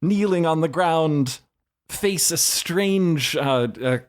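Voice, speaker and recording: A woman playing a tabletop game, improvising narration with deliberate, halting, wordy phrasing. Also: low voice. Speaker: player in a tabletop RPG. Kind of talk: improvised narration, casual chat.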